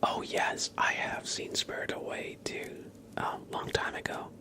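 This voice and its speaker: A man doing casual ASMR chat in a hushed, mostly whispered voice, rambling gently. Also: unhurried. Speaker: ASMR livestreamer.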